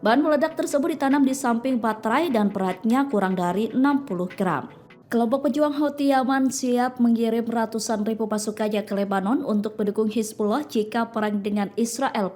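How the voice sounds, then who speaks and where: A woman's voice: on the high side, a little mellow. A woman narrates news from a script.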